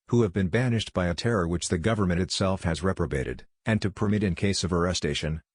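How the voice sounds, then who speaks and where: Male narrator, low-pitched, measured and formal, dry close sound, for scripted quotation readings. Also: no echo. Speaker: quote narrator.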